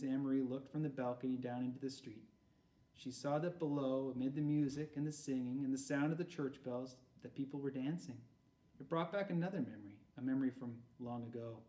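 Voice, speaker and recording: A man narrating a storybook aloud in an expressive read-aloud style. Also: mid-range voice. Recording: roomy echo, far from mic.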